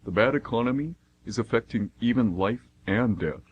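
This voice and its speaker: A man's voice: medium pitch, expressive, normal speed, narrow, tinny audio, natural voice. A man playing a joking, slightly petulant train passenger in an amateur radio play. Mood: joking, miffed. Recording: faint noise and hum.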